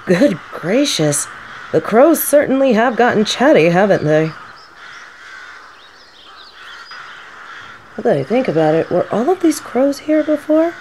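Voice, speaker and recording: A woman playing a gentle, scholarly female professor in an audio roleplay, speaking thoughtfully in formal words. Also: on the high side, very animated, normal speed, a little hiss or hum. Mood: curious, mild. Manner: soft-spoken, thoughtful, formal wording.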